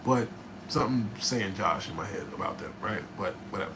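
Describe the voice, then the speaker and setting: A man from New Jersey, a rapper, telling a studio story in casual, profane style with a raspy voice, often asking "right?". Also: fairly low pitch, brisk. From New Jersey, USA. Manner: animated, profane, keeps checking in with "right?". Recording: noticeable background noise.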